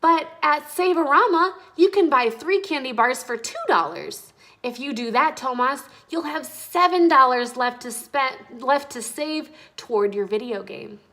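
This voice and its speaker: Woman putting on an eager, high-voiced little schoolgirl. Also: heavily acted. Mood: eager, excited. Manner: excitable, earnest, quick to chime in. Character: eager, bright young schoolgirl.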